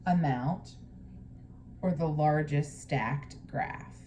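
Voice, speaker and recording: A woman teacher doing a picture-book read-aloud, pausing to explain things to young pupils. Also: sits low, mellow, slight background noise.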